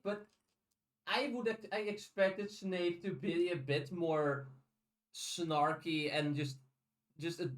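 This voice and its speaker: A man's voice: high voice, distant mic. A man hosting a podcast in casual conversation, thinking aloud analytically and often restarting his phrases. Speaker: podcast host. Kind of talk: casual chat.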